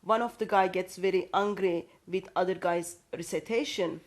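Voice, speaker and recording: A woman's voice: on the low side, steady tone, moderate pace. A woman from Turkey, speaking English as a guest, hesitant with restarts as she reads out references. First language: Turkish. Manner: hesitant, with many fillers and restarts, reading out references. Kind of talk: conversational discussion.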